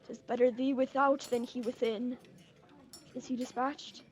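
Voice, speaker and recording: A young woman acting a brooding, tormented, murder-plotting king in Shakespearean verse, moving between hushed and declamatory delivery. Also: fairly high pitch, level tone, unhurried, natural voice. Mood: anxious, tormented. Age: young adult.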